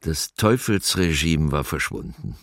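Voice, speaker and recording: Extremely deep, solemn male citizen. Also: expressive, unhurried, fairly bright tone, no echo, studio quality, exaggerated voice. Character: solemn male citizen reflecting bitterly on his own state. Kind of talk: documentary radio drama monologue.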